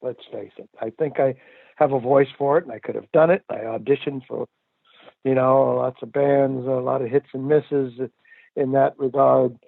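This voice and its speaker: A man's voice: medium pitch, steady tone, moderate pace, vocal fry, dry recording. An older male musician answering interview questions in a reflective, chatty way with frequent laughs and fillers. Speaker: musician guest. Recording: tinny phone line.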